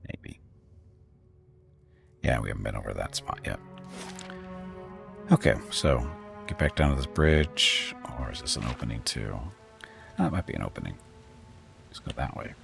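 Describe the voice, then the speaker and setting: A man streaming a game, reading its text aloud with relaxed, wry asides. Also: extremely low pitch, moderate pace, gravelly, pauses often, music in the background. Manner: relaxed, wry asides between read passages.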